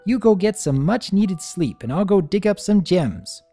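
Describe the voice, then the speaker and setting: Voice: medium pitch, lively intonation, normal speed, mellow, faint music behind, voice pushed up. A storyteller voicing a small young male dragon, earnest, nervous and affectionate, with a hesitant manner. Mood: caring, upbeat. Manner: stammering, hesitant.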